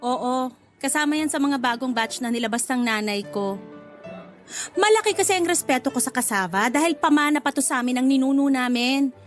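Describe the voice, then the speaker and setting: An actress plays a lovestruck young Filipina food vendor with a high voice. She is sassy and quick to get defensive, giggling in a radio drama manner, with faint music underneath. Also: lightly acted. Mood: indignant, suspicious. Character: jealous, smitten young woman cassava vendor named Cassandra.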